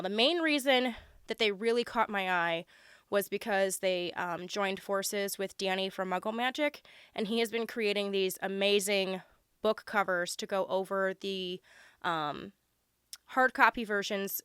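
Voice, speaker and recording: A woman with a hoarse, congested voice from being sick, chatting casually and gushing as she unboxes a subscription box, with plenty of ums. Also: medium pitch, fairly bright tone, no room sound, clean studio sound. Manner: chatty, lots of ums, gushing over items. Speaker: unboxing vlogger.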